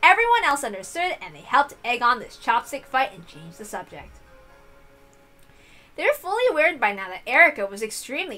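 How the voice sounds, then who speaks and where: A woman narrates a visual novel with expressive delivery. Her voice is high and clearly bright, carrying the story as its reader.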